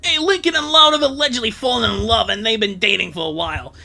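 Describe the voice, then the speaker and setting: A performer voicing a bossy cartoon child running a meeting in a fan dub, loud and commanding. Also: medium pitch, fast, gravelly, faint music behind, pitched above the performer's own voice.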